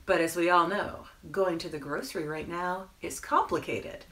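A woman's voice: fairly low pitch, normal speed, fairly bright tone. A middle-aged woman presenting a children's storytime, reading a picture book with playful, exaggerated emphasis and questions to the listeners. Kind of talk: expressive picture-book read-aloud for young children. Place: small indoor room in front of bookshelf.

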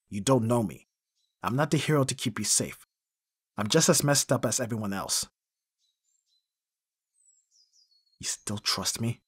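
A male actor plays a gruff, protective bodyguard in an audio roleplay. The voice is husky and raspy, recorded close and dry. He talks bluntly and guardedly, with sighs and curses. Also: lowish voice, a bit bright, dry recording, heavily acted. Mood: weary, guarded. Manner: gruff, sighing, swearing, blunt. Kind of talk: intimate ASMR roleplay acting.

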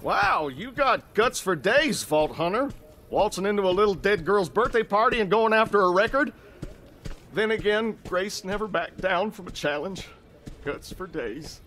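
High, swooping folksy dad. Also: male voice, expressive, moderate pace, sharp tone, faint music behind, heavily acted. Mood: needling. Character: folksy, manically cheerful bereaved father hosting party. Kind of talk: video game character acting.

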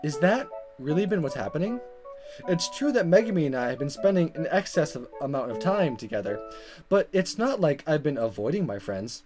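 A woman let's player reading a visual novel aloud in expressive narration with informal asides and stumbles over the script. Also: low-pitched, a little fast. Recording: music behind the voice.

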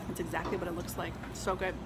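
A woman's voice: on the low side, flat delivery, fast, over loud music. A woman in her thirties talking to a phone camera at arm's length, reviewing a restaurant in a chatty, enthusiastic way. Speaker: food vlogger. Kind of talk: casual restaurant review vlog. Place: indoors in a restaurant, later outdoors by a parking lot. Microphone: phone camera held at arm's length.

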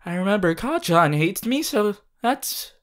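A woman voicing a sweet, bashful, excitable teenage anime boy in an affectionate, halting ASMR roleplay addressed to the listener. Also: medium pitch. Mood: amused, reminiscing. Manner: halting, affectionate, with small hesitations.

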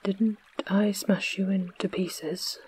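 Storybook gnome king, fat and blustering, read by the narrator; soft whisper, very slow. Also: medium pitch, little pitch movement, a little hiss or hum, lightly acted. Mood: trembling.

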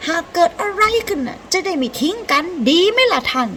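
A woman's voice: extremely high pitch, lively intonation, a little fast, a bit bright, slight background noise, pitched above the performer's own voice, exaggerated voice. A woman voicing a sly, cocky fox in a children's folktale, talking in a teasing, persuasive way.

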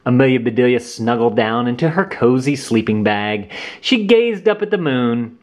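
A man reading a children's storybook aloud as host, in an animated, deliberate way for young listeners. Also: mid-range voice. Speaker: children's book reader and channel host.

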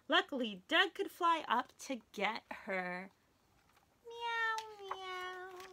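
A young woman as a children's librarian reading a picture book aloud at story time, animated and sing-song, with playful animal noises. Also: high-pitched. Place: small indoor room, wood-panelled.

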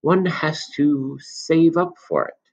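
A man voicing a sly elderly male potion seller who coaxes with smooth, insinuating persuasion. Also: fairly high pitch, takes it slowly, dull audio, pitched above the performer's own voice, heavily acted. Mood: coaxing.

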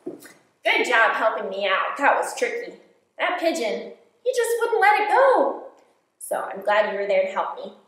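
A young woman reads to small children in an unhurried, interactive way, coaxing them with questions. Her intonation is very lively, and the classroom is strongly echoey. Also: fairly high pitch. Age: young adult. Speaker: kindergarten teacher. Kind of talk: interactive children's read-aloud.

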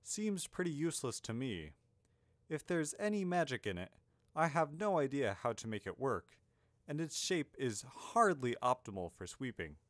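A man voicing a young adventuring wizard in a fantasy audiobook, earnest, excitable and blithely matter-of-fact. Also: mid-range voice, normal speed, no echo, clean studio sound, close to an everyday voice. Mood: bland.

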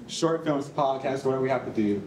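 A young man hosting a live event, talking to an audience in an animated, conversational and inviting way. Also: mid-range voice, brisk, very noisy. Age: young adult. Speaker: event host. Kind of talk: informal live introduction to an audience. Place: indoor rehearsal hall in front of an audience. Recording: echoey room with audience reactions.

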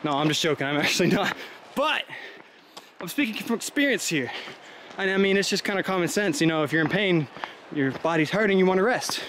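A man's voice: high-pitched, expressive, a little fast, a bit bright, a little hiss or hum. A young man chatting and joking while hiking on a wooded trail, out of breath, filming himself with a handheld camera. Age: young adult. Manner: chatty, joking, rambling with fillers. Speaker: vlogger. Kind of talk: casual vlog while hiking. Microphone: handheld camera at arm's length.

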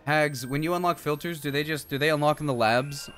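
A man's voice: fairly high pitch, a little fast, fairly bright tone. A young man giving casual gameplay commentary as a streamer, with jokey, sweary banter. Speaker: video game streamer. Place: indoor streaming setup. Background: game music.

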